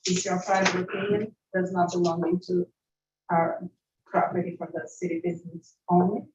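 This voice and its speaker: Hoarse nervous woman from Brazil. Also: on the low side, steady tone, a little mellow, a little hiss or hum. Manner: nervous, halting with many fillers. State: hoarse, coughing. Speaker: public commenter. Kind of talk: public comment at city meeting. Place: city commission chamber. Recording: distant roomy muffled hall mic.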